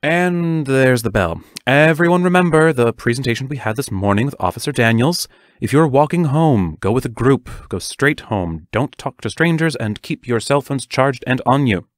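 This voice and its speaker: A man's voice: medium pitch, animated, normal speed, dry recording, clean studio sound, close to an everyday voice. An actor playing a serious, protective male schoolteacher who speaks in careful, formal phrases in an audio drama. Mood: firm, caring.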